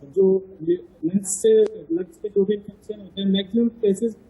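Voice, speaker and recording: A doctor on a live health show, a man with a husky, fairly high voice. He explains symptoms at length, stopping often to restart a word or fill a pause. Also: fairly even intonation, warm tone, rough recording. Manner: hesitant, many fillers and restarts. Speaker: doctor guest.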